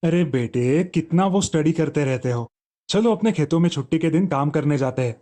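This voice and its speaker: A man's voice: a little high, lively intonation, normal speed, dark tone, lightly acted. A man voicing a protective village farmer father in a children's story. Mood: nagging. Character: protective village farmer father, fretting over his son.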